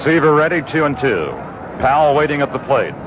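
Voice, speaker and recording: A man calling live baseball play-by-play for radio, with a steady, measured delivery full of statistics. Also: fairly high pitch. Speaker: play-by-play announcer. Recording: muffled old 1960s radio broadcast with noise.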